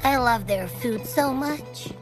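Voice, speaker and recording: A woman voicing a boastful, gluttonous, childlike little creature companion in a video game, excitable and protective. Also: on the high side, slow pace, over music. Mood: hungry, whiny. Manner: boastful, whiny, exclamatory.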